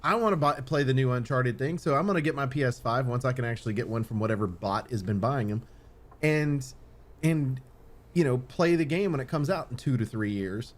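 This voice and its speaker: A man's voice: medium pitch, a little fast. A man hosting a podcast in casual, opinionated chat, rambling and circling back over his points with casual swearing. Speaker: podcast host.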